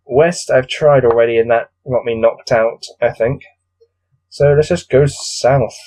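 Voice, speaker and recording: A man's voice: mid-range voice, steady tone, a little slow, darkish tone, low-quality recording. A man hosting a gamebook read-through, mixing read-aloud narration with casual, bored and exasperated commentary. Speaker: host reading a gamebook aloud.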